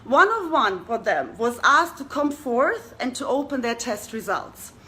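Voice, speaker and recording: Young woman host talking to the crowd, earnest, lots of questions to them, high clear voice. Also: sing-song, unhurried, sharp tone. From Germany. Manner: earnest, rhetorical questions to listeners. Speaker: talk show host. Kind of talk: addressing an audience.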